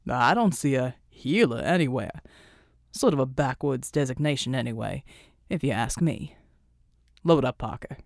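Woman voicing a low, courteous male superhero leader. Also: lively intonation, creaky, darkish tone, dry recording, studio quality, heavily acted. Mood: dry, assured. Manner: polite, measured, commanding. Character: confident, courteous male superhero team leader, Yankee Pride.

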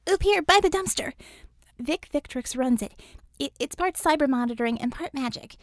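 A woman voicing an energetic, friendly superheroine teammate in an audiobook, chatty and informal. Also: high voice, moderate pace, no echo, clean studio sound, voice pushed up. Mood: brisk, explaining.

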